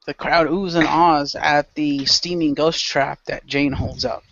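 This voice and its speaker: A man's voice: a little high, fairly even intonation, measured pace, creaky, a bit bright. A man narrating scenes as game master in an improvised role play. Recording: dry, dull lo-fi audio.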